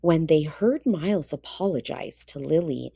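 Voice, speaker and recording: A woman narrating a children's storybook read-aloud in an expressive, deliberate way. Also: low voice, creaky, breathy edge, no echo, studio quality.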